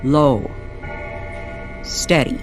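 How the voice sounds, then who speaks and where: A woman narrating a mysterious story in old-time radio style, deliberate and suspenseful, with dramatic pauses. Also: rumbling low. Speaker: co-narrator of an audio story series. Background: music under the voice.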